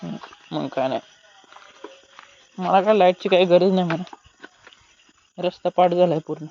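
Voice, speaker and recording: A man's voice: high voice, normal speed, gravelly. A young man chatting casually as he presents his vlog. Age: young adult. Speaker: vlogger. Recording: dull lo-fi audio, faint noise.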